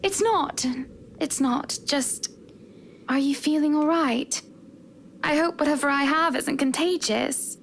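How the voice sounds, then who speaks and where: High, creaky, gentle young wife. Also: female voice, slow pace, slight background noise, full character voice. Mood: hesitant, concerned. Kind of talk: visual novel game acting.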